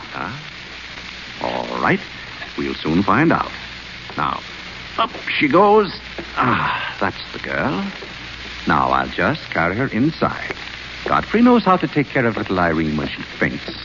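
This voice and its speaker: An actor playing a calm, dry-witted gentleman butler in 1930s radio acting, gentle and patient, on a muffled old radio broadcast. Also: fairly low pitch, noisy background, heavily acted.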